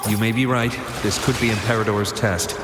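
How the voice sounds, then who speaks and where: Echoing space, loud rushing noise, low male voice, grave, resolute game character acted by a man. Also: fairly even intonation, lightly acted. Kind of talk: video game voice acting.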